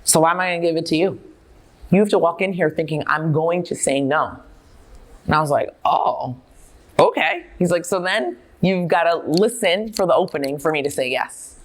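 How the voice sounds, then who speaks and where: A middle-aged woman with a low voice speaks in an interview. She is self-assured and emphatic, telling personal stories candidly as a founder would. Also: moderate pace. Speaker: company founder and chief executive, dietitian. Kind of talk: on-stage interview, personal storytelling.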